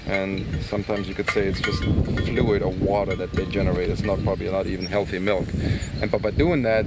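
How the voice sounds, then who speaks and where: Low-voiced man over loud outdoor noise. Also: gravelly. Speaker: farmer. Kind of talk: interview explanation. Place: outdoors on a farm near animals.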